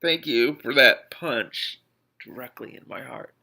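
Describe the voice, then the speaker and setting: A man's voice: mid-range voice, measured pace, vocal fry, sharp tone. A man reading a novel aloud expressively, breaking off into spontaneous amused and exasperated asides as host. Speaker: host of an online read-aloud series.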